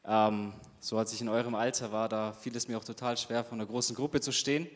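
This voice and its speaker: A man's voice: lowish voice, fairly even intonation, a little fast. A young man from Germany leading a youth group, talking casually with lots of fillers and questions to the group. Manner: casual, chatty, many fillers and rhetorical questions. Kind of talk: interactive talk to a youth group. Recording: reverberant hall sound.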